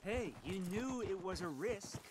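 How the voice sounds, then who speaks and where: A man's voice: sits high, lively intonation, background noise. A man playing a nervous, pleading frontier debtor with an American accent and a hesitant manner in a video game. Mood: nervous, defensive. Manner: hesitant, placating, halting. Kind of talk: dramatic video game acting.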